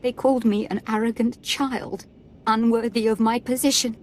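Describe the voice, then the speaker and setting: An actress playing a wounded, imperious young Sith lord in a video game, speaking with haughty, aggrieved drama. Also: female voice, a little high, fairly even intonation, normal speed, slight background noise, lightly acted. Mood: bitter, wounded.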